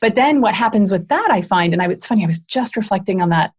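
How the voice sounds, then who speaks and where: A woman's voice: mid-range voice, animated, fast talker, husky. A middle-aged woman hosting a podcast, reflecting and thinking aloud in casual conversation. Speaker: podcast host. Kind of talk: casual interview chat.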